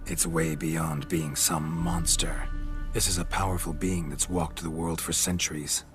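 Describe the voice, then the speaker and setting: An actor playing a weary, pragmatic monster hunter in a video game, speaking in terse, matter-of-fact sentences. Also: male voice, sits low, little pitch movement, unhurried. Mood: calm, insistent. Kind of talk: video game cutscene acting. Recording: dramatic music behind.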